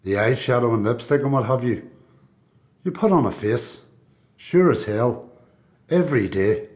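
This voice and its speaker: A man's voice: mid-range voice, expressive, measured pace, darkish tone, close to an everyday voice. A man with a Belfast accent playing a cynical, defiant prisoner in a radio play, blunt, colloquial and sweary. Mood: wry, guarded. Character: cynical, defiant male prisoner, streetwise and distrustful.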